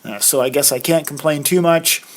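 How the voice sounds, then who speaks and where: A middle-aged man from Maine hosting a solo podcast, his voice raspy from a cold, talking in a folksy, conversational way with plenty of filler. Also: fairly high pitch, normal speed. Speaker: podcast host, financial planner. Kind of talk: casual solo podcast talk.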